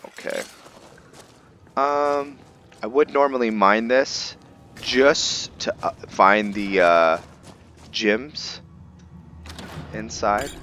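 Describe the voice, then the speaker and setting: A man's voice: on the low side, a bit bright. A man giving casual let's play commentary as a gaming video host, laid-back and thinking aloud. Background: game music.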